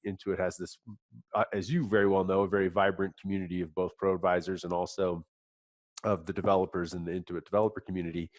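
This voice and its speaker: A man presenting in a webinar, stuffed up with a cold, talking haltingly with many fillers and restarts. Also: low voice, no echo. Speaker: app company guest.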